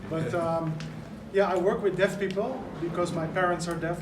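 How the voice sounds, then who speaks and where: A man's voice: high voice, steady tone, normal speed. A man from the Netherlands, speaking earnestly at a press conference as an event organizer and explaining things step by step. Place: large indoor venue. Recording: echoey room, mic far off, noisy.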